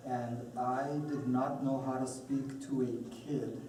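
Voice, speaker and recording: A man from Colombia telling about his family in a group discussion, in a steady way. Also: medium pitch, slow pace. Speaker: panel speaker, parent. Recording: echoey room, distant mic, audible noise.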